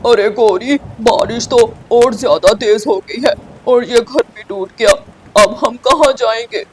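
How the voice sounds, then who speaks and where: A woman puts on a scheming crow husband for a children's cartoon. He is loud and bossy, scolding in a high voice. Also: a little slow, a little hiss or hum, lightly acted, rough recording. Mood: alarmed.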